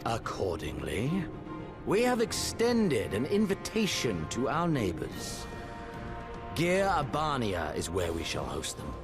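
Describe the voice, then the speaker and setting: A man's voice: medium pitch, huge pitch swings, slow pace, over music, heavily acted. A man playing a cruel, haughty imperial prince in a video game, speaking with languid, theatrical menace. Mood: predatory relish. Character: cruel imperial crown prince commander, bored predatory huntsman. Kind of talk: dramatic video game cutscene acting.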